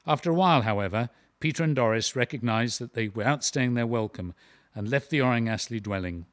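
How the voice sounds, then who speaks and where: A man's voice: medium pitch, brisk, dry recording, studio quality. A man narrating a historical audio drama from a script, in documentary style.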